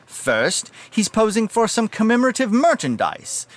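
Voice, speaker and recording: An actor playing a pompous, officious museum director in an audio drama, with a haughty, fussy, theatrical manner. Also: male voice, sits high, sing-song, moderate pace. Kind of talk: audio drama character acting.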